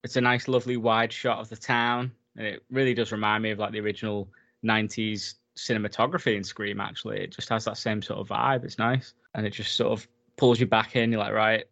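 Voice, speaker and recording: A man's voice: on the low side, fairly bright tone. A man in a casual film recap chat, enthusiastic and talkative, laughing often as he goes. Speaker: podcast co-host.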